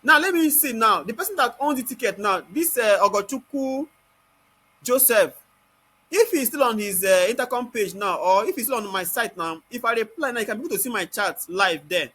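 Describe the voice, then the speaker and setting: A Nigerian-accented man giving an informal step-by-step software tutorial, constantly asking rhetorical questions and answering them himself. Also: very high-pitched, expressive, fairly quick, slightly rough.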